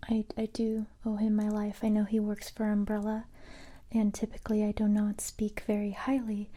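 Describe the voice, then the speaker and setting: Woman, soft ASMR whisper, breathy, flat intonation, gentle halting delivery, roleplaying a capable special-forces recruiter. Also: on the high side.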